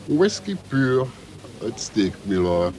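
An actor playing an unruffled, formal, deferential club waiter in a radio play. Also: male voice, mid-range voice, big pitch swings, slow, darkish tone.

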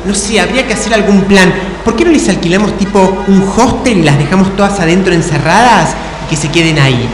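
A woman's voice: medium pitch, a little fast, few pauses, over music, natural voice. Woman playing a bossy Argentine schemer, echoey room. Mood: conspiratorial. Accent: Rioplatense Argentine. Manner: conspiratorial, bossy, chatty. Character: bossy scheming female friend, an excitable amateur inventor. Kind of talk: improvised stage comedy. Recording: echoey hall, clearly noisy.

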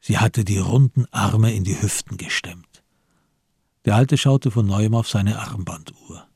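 A man with a gravelly, husky voice giving literary narration in a radio crime drama. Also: on the low side, unhurried, darkish tone, dry recording, clean studio sound.

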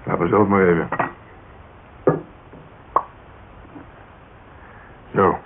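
Guy playing gruff cop in a radio drama, deep voice, world-weary and sardonic, hissy old tape. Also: fairly even intonation, fast, narrow, tinny audio, natural voice. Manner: wry, colloquial, offhand. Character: gruff, cynical male police detective. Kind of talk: Dutch radio drama dialogue.

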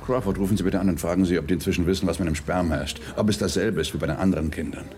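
A man playing a gruff, impatient police detective in a German radio drama. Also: sits low, fairly even intonation, racing, darkish tone, lightly acted. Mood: commanding. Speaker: actor.

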